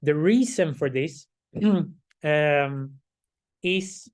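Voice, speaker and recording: A middle-aged man, hoarse and losing his voice, giving a class lecture and explaining each step slowly, often checking with "right?". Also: a little high. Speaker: instructor.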